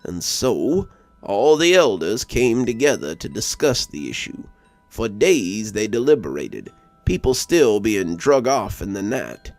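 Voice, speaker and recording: A man's voice: fairly low pitch, sing-song, no room sound, faint music behind. A man voicing a solemn, stoic local who recounts his tribe's old legend in a horror story. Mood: grave, foreboding. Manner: grave, stoic, deliberate. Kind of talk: storybook dialogue in horror narration.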